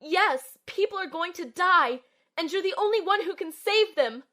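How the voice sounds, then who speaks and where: A woman's voice: extremely high pitch, expressive, moderate pace, bright, forceful tone, heavily acted. A woman playing an earnest young healer in an audio drama, telling and acting out her own ordeal with soothing, emotionally involved delivery. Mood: pleading. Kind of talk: amateur audio drama, first-person narration and dialogue.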